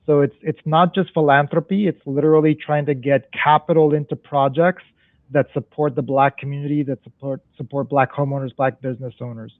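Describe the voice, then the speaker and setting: A man's voice: on the high side, steady tone, fairly quick, no room sound. Man on a tinny phone-like line. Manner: explanatory, many restarts and tag questions. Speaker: panel guest, community development banker. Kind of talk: panel discussion answer. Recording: dry, phone-like remote audio.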